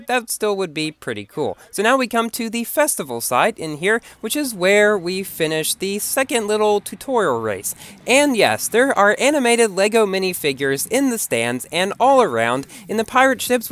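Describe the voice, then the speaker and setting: A man's voice: high voice, fairly bright tone, dry recording. A young man recovering from a cold, giving enthusiastic, chatty commentary over video game footage as a channel host. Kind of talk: gameplay commentary.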